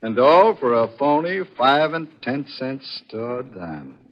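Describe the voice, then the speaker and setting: Tough, taunting male investigator, vintage radio thriller: sneering, clipped, very animated, muffled period sound. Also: medium pitch, slow pace, noisy background. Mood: taunting, accusing. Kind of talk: 1940s radio thriller acting. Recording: dull vintage 1940s radio recording.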